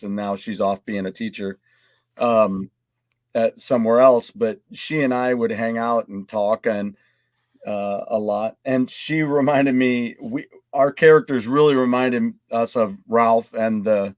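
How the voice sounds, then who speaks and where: A man's voice: mid-range voice, darkish tone, no echo. A man chatting casually on a panel in an enthusiastic, rambling way. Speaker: podcast panelist. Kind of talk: casual chat.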